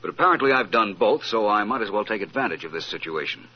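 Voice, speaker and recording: A self-assured gentleman sleuth from an old radio mystery, acted by a man. His low voice moves expressively through polished, courteous phrases. The recording is muffled with some faint hiss. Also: animated, fast talker, natural voice. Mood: suave, assured. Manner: polished, formal wording. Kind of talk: old-time radio detective acting.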